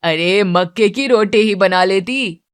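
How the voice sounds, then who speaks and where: A food-loving grown-up son played by a woman storyteller in a family cartoon. He talks familiarly and questions things, in an everyday medium pitch. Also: slow, clean tone, lightly acted. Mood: complaining. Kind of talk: Hindi moral-story cartoon character.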